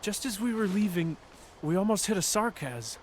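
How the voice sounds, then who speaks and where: An actor playing a shaken, dazed male attack survivor in a video game, speaking in a halting, anxious way. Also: sits high, lively intonation, a little hiss or hum. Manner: halting, anxious, breaking off mid-thought.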